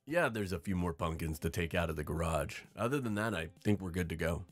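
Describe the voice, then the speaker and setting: A man's voice: deep voice, lively intonation, brisk, soft background music. A man playing a friendly boyfriend welcoming guests to a party in an audio drama. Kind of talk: naturalistic audio drama acting.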